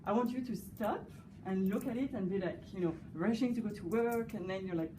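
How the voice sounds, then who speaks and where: A woman's voice: mid-range voice, a little fast. A woman from France with a French accent, talking casually about her art in an interview, hesitant and full of fillers. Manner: hesitant, many fillers, warm laughter. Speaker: guest artist. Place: cafe gallery. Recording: echoey room, distant mic, background noise.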